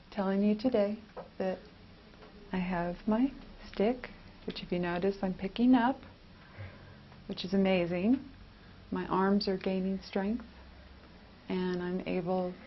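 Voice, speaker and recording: A woman with a slightly low voice talks as a patient giving a personal testimonial. The recording is dull, with faint noise behind her. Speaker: patient describing illness and recovery. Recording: muffled, faintly noisy home video.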